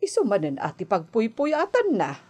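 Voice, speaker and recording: A woman with an Ilocano accent acting a gentle, concerned middle-aged mother in a radio drama. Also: fairly high pitch, wildly swooping pitch, normal speed, slightly rough, lo-fi sound, exaggerated voice. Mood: mildly fussing.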